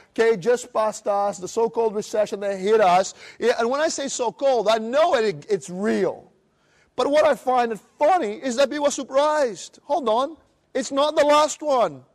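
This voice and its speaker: A middle-aged man from Brazil with a Brazilian Portuguese accent, giving an animated seminar talk and acting out other people's voices. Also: high voice. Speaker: motivational speaker. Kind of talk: live seminar presentation.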